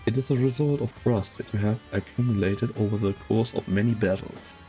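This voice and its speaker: A man narrating a game story in a measured, solemn, dramatic scripted style. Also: fairly low pitch, warm tone, faint music behind.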